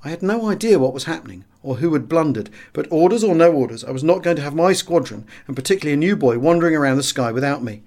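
A man with a British accent reads a first-person memoir as an audiobook narrator, in a measured, expressive storytelling manner. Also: on the high side. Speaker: audiobook reader.

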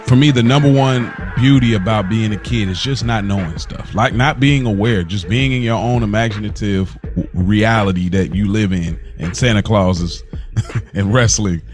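A man's voice: on the low side, moderate pace, faint music behind. A man co-hosting a podcast, chatting casually and conversationally. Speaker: podcast co-host. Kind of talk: casual chat.

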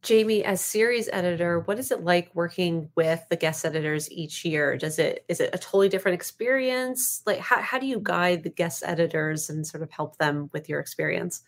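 A woman with a somewhat low voice moderates a panel. She asks informal interview questions, a little tentatively, rewording them along the way. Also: normal speed, hardly any pauses.

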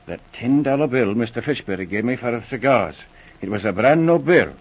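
A man's voice: medium pitch, expressive, moderate pace. An actor playing a simple, honest old Irish man, dejected and earnest, in a 1940s radio drama. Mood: dejected, worried. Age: older. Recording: muffled vintage radio recording.

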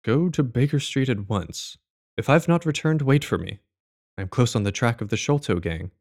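An actor playing a courteous, brisk gentleman doctor, a detective's companion, in an audio drama. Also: medium pitch, lively intonation, natural voice. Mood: brisk, eager.

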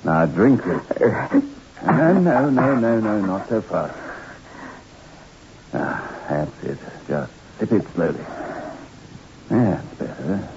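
An actor playing a calm, firm doctor, soothing yet brisk, in an old radio drama. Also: male voice, lowish voice, gravelly, heavily acted. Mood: reassuring. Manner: soothing but brisk, commanding. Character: calm, firm, reassuring male island doctor. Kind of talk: 1960s radio drama acting. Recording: muffled, noisy old radio recording.

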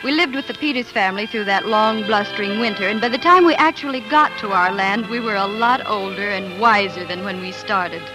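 An actress playing a devoted, excitable young pioneer bride, telling her story in earnest, lyrical 1940s radio drama style. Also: mid-range voice, fairly quick, few pauses, natural voice, rough recording. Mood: reflective. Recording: old 1940s radio broadcast, music underneath.

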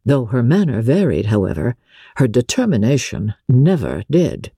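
A woman's voice: a bass voice, very animated, moderate pace, mellow, slightly rough, no room sound, clean studio sound. A woman reading classic literature aloud as a dramatic, scripted audiobook narration. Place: dry studio.

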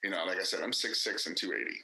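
Bright-voiced man, candid and emphatic. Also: low-pitched, fast talker, echoey room. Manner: candid, emphatic, many fillers and restarts. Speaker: actor and director. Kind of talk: casual interview conversation.

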